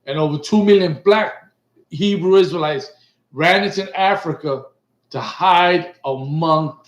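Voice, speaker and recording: A man's voice: a little high, measured pace. Emphatic middle-aged man teaching, echoey room. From Puerto Rico. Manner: emphatic, repeats points and asks "right?" often. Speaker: teacher leading a class. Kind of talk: bilingual religious class talk. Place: indoor studio set with printed backdrop.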